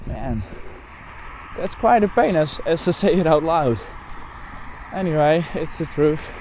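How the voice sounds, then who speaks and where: A man's voice: a little high, expressive, unhurried, darkish tone, a little hiss or hum. A man with a Dutch accent, a native Dutch speaker, chatting casually and rambling as he walks outdoors, often correcting himself and leaning on "like" and "um.". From Netherlands. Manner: rambling, self-correcting, lots of "like" and "um". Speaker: bird photographer vlogger. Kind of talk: casual rambling walk-and-talk. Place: outdoors in open countryside, walking. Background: faint outdoor ambience with passing cars.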